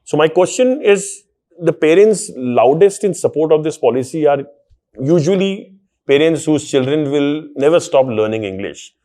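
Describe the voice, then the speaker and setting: Host guy with a mellow voice, firing off pointed questions at the panel. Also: a little high, lively intonation, normal speed. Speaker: host and moderator. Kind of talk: moderating a panel discussion.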